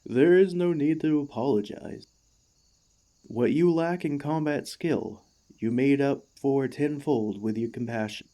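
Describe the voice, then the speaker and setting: A man playing an emotional, excitable warforged ex-gladiator in an ASMR roleplay, gentle and devoted, with earnest, formal, halting speech. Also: medium pitch, lightly acted. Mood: earnest, admiring.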